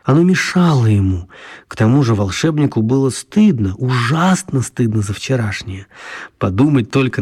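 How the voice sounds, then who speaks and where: A man narrating a children's radio play in a calm storytelling manner. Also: fairly low pitch, slightly rough.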